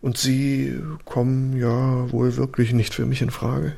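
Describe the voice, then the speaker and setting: A man playing a worldly, roguish ex-convict with a soft spot in a radio drama, talking casually in slang with a husky, gravelly voice. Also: mid-range voice, flat delivery, a little slow. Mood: amused, affectionate. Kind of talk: naturalistic radio drama acting.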